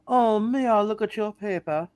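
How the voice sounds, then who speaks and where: A principled, defiant female official in a radio play, performed by a woman. Her medium-pitched voice is folksy and indignant, hammed up a little. The sound is dry, with no room to it. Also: moderate pace, a little mellow, no room sound, close to an everyday voice. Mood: curious. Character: principled, defiant female state bank examiner.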